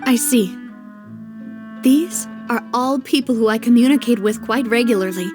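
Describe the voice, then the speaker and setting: A woman's voice: a little high, moderate pace, natural voice. A woman playing a composed, earnest young crime family heiress in a video game cutscene, polite and pensive in her delivery. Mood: troubled, reflective. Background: background music.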